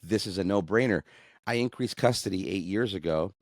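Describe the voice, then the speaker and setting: Deep-voiced earnest man, dry sound. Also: fairly quick, no echo. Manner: earnest, animated when indignant, many restarts. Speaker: guest sharing his divorce story. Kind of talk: candid conversational interview.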